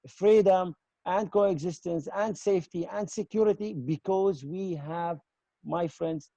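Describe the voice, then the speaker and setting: A man gives an earnest, emphatic webinar talk in halting English. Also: a little high, warm tone, no room sound. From Israel. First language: not English; speaks Arabic, Aramaic and Hebrew. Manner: earnest, emphatic, often addressing listeners as "my friends"; halting, non-native English with repeated word starts. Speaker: guest speaker, community association chairman and army veteran. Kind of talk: webinar presentation, advocacy talk.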